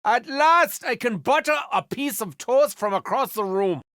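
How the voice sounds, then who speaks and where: A man's voice: very high voice, expressive, measured pace, a bit bright, no echo, clean studio sound, heavily acted. An actor playing a manic, unhinged German male doctor in a video game, with a German accent and a theatrical, mock-wounded manner. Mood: gleeful.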